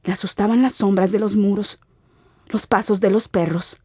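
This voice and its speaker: A woman giving an expressive, theatrical narration of a literary radio drama as its narrator. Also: mid-range voice, moderate pace, husky, no echo, clean studio sound. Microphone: close studio mic.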